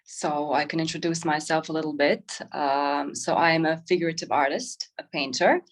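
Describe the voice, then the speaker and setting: Casting: woman, 30s, lower-pitched voice, reflective interview guest talking informally. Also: moderate pace. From Croatia. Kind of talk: informal interview, describing own work.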